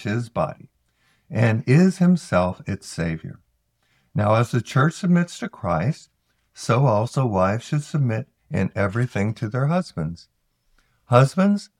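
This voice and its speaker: A man reciting scripture from a text in a measured, emphatic way, phrase by phrase. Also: on the high side, creaky, dry recording, clean studio sound. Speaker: scripture reader. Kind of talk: scripted Bible reading, recited aloud.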